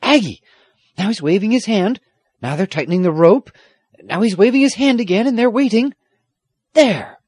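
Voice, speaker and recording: Man playing a hearty, excitable old country squire. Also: a little high, moderate pace, no echo, clean studio sound, pitched above the performer's own voice. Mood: excited. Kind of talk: audiobook character acting.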